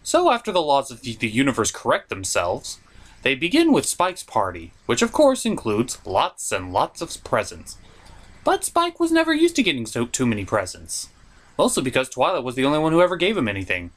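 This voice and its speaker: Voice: medium pitch, huge pitch swings, fairly quick, a bit bright. A reviewer giving scripted comedic narration in a theatrical, sarcastic, mock-exasperated way, with some swearing. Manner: profane. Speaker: cartoon reviewer and host.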